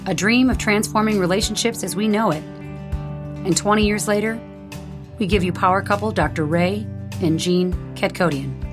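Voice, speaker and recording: Female announcer, polished scripted intro, clear guitar bed behind her. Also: medium pitch, normal speed. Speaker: show announcer. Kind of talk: scripted promo narration. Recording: guitar music under voice.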